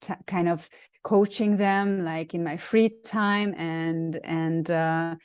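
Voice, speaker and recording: Hungarian woman, 40s, lower register, dry close sound; reflective guest who restarts phrases. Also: fairly even intonation, takes it slowly, darkish tone, smooth, no room sound. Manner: thoughtful, with frequent ums and restarts. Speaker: guest, actress and acting coach. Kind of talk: casual interview chat.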